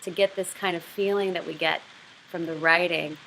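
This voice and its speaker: A woman with a fairly low but bright, forward voice gives a lesson on literary craft. She moves between explanation and expressive read-aloud narration. There is faint noise behind her. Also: moderate pace, gravelly, low-quality recording. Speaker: writing teacher.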